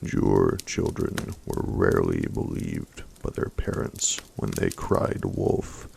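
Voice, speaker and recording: A man reading a fantasy story aloud in a hushed, mostly whispered ASMR style. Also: extremely low pitch, sing-song, takes it slowly, vocal fry. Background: faint campfire crackle.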